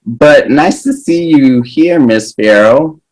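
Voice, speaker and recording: A man acts out a cocky, mischievous teenage boy in a table read. The delivery is unhurried, with a very lively pitch and a sarcastic, teasing edge. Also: poor audio. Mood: smug, teasing. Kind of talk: table read of a pilot script.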